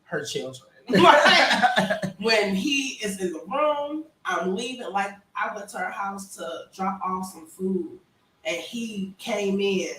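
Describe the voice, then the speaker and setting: A woman in her thirties telling stories in a casual group chat, animated, slangy and laughing often. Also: mid-range voice, takes it slowly. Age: 30s. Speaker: podcast co-host. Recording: echoey room, mic at a distance, faint noise.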